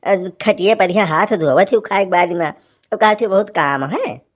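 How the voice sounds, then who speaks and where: A woman's voice: mid-range voice, normal speed, lightly acted. A woman voicing a harried village husband in a cartoon comedy, rambling and grumbling with an Awadhi accent. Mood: grumbling, scolding.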